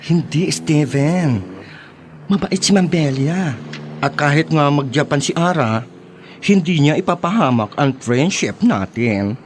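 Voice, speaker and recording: A man acting an effeminate, chummy young classmate in a radio drama, campy and expressive. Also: on the high side, a little slow, a little hiss or hum. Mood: reassuring.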